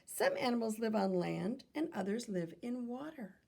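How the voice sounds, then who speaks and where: A woman reading aloud to children like a teacher, in an instructive manner, pausing to pose questions to young listeners. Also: on the high side, lively intonation, creaky, warm tone.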